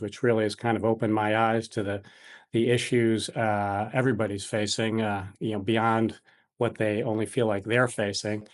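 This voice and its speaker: A middle-aged American man, a physician from Buffalo, answering interview questions conversationally in hesitant, meandering phrases. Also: mid-range voice. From Buffalo, New York, United States.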